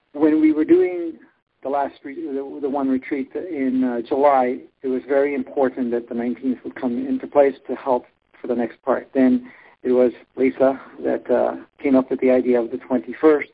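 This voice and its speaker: A man hosting a spiritual workshop in a relaxed, rambling way, pausing often on fillers, heard over a phone-line webinar. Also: mid-range voice, creaky, husky. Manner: rambling, frequent filler pauses. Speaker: workshop host.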